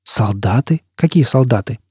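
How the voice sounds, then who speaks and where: A vengeful, desperate man acted by a male reader in an audiobook. His voice is mid-pitched and husky, with a dark edge, harsh and bitter as he makes demands. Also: animated, normal speed, no echo, studio quality. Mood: hushed urgency. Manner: harsh, commanding, embittered. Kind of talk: audiobook character acting.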